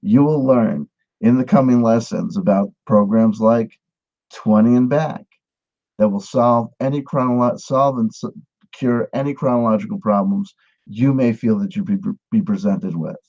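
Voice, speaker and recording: A man giving a satirical mock lesson, with a mock-earnest pitchman delivery. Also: normal speed, mellow, highs cut off. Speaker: mock instructor. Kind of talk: satirical monologue.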